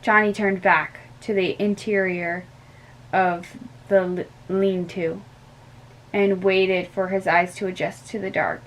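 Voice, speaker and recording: A young woman reading a storybook aloud in a slow, careful way with small stumbles, close to a webcam in a bedroom. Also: medium pitch, level tone, poor audio. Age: young adult. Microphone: webcam at close range.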